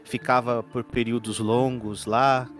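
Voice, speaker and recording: A man voicing a polite, probing male detective in a tabletop game, formal and deliberate. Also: mid-range voice, little pitch movement, faint music behind, lightly acted.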